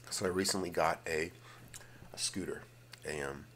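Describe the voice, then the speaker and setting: A man hosting a casual, rambling ASMR video, slipping between vocal fry and whispering and often repeating his phrases. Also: low-pitched, moderate pace, a little hiss or hum. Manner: alternates hushed fry and whispering.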